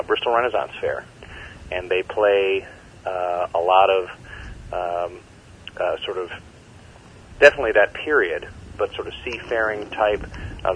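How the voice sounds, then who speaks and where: Deep-voiced man on a muffled call. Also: a bit bright, slight background noise. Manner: rambling, many fillers, enthusiastic. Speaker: event organizer, interview guest. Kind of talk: phone interview.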